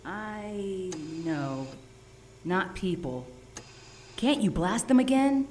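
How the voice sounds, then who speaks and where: A cheeky, lively female sidekick. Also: medium pitch, extremely slow, a little hiss or hum. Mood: wry, alert. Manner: playful, teasing. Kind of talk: audio drama dialogue.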